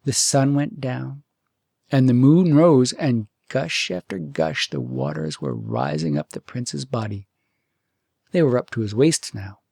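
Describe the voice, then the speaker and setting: Guy narrating a fairytale audiobook, husky mid-pitched voice, really dry close sound. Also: sing-song, measured pace, darkish tone, no room sound. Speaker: audiobook storyteller.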